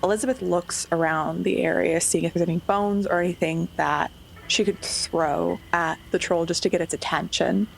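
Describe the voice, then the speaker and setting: A woman's voice: on the low side, fairly even intonation, moderate pace, creaky, faint music behind, poor audio. A woman playing a tabletop roleplaying game, casually narrating what her character does. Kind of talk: casual third-person narration of character actions.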